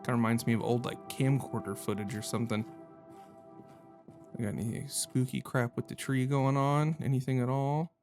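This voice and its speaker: A man's voice: mid-range voice, steady tone, normal speed, a little mellow, soft background music. A man giving casual, reactive gameplay commentary in a rambling, jokey manner with goofy mild exclamations. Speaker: gamer hosting a playthrough video.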